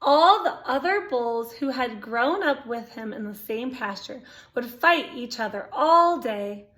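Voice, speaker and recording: A woman's voice: a little high, lively intonation, unhurried, fairly bright tone. A woman reading a picture book aloud to young children, expressively, with exclamations, asides and questions to her listeners. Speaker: storytime reader.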